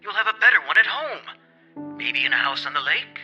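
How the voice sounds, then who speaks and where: A man's voice: on the high side, very animated, normal speed, pushed and bright, muffled recording, soft background music, heavily acted. A man playing a proud, insistent older father in a radio drama.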